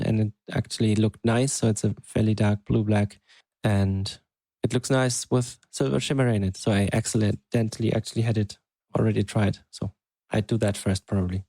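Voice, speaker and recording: A man co-hosting a podcast, chatting casually and thinking aloud in an unhurried, hesitant way. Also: on the low side, creaky, dark tone, dry recording, low-quality recording. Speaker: podcast co-host. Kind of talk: casual chat.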